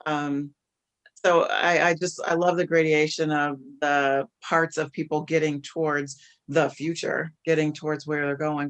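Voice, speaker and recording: A man speaking reflectively and haltingly in a casual discussion on a video call, often posing rhetorical questions. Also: on the high side, a bit bright. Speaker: discussion participant who coaches executives.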